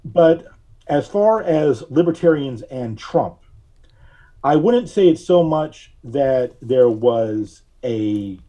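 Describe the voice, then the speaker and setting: A man speaking as a podcast guest, giving conversational political commentary in a measured, wry, halting way. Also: medium pitch, mellow.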